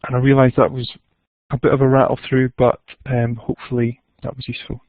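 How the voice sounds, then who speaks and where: A man's voice: mid-range voice, flat delivery, fast, no echo. A man presenting research in a webinar and answering questions, with a hesitant delivery over tinny phone-like audio.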